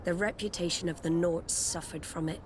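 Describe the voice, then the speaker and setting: An actress playing a commanding, solemn female admiral in a video game, speaking formally and deliberately. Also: lowish voice, fairly even intonation, background noise, close to an everyday voice. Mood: solemn, authoritative. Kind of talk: dramatic game dialogue.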